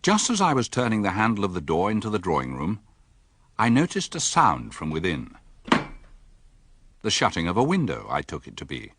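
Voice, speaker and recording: An actor playing a mild village doctor in a radio drama, speaking and narrating in a measured, matter-of-fact way. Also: male voice, deep voice, wildly swooping pitch, fairly bright tone, a little hiss or hum, exaggerated voice. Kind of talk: radio drama dialogue and first-person narration.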